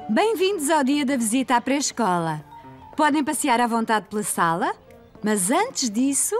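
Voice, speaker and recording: Preschool teacher, high voice, very animated, European Portuguese accent, welcoming, cartoon. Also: female voice, normal speed, faint music behind. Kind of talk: children's cartoon dub.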